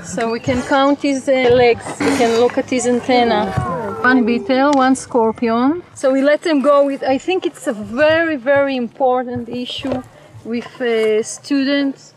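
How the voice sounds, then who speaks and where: Woman educator, high voice, halting hands-on demonstration outdoors. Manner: hesitant, many uh fillers. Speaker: field educator.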